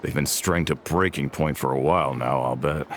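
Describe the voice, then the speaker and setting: A man voicing a gruff, resigned townsman in an anime game, matter-of-fact. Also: extremely low pitch, normal speed, slight background noise.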